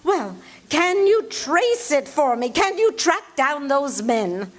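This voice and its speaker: A woman acting a high-strung, imperious wealthy lady in a live radio play, urgent and breathless. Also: sing-song, slow pace, full character voice. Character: anxious demanding wealthy woman, invalid. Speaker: radio drama actor. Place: RV resort hall before a live audience.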